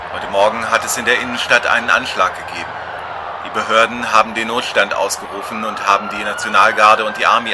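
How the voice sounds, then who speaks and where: A man playing a male TV field reporter in a radio play, reporting from an attack and turning panicked. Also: lowish voice. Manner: panicked, screaming. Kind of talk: amateur radio play acting. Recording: noisy, with gunfire and sirens.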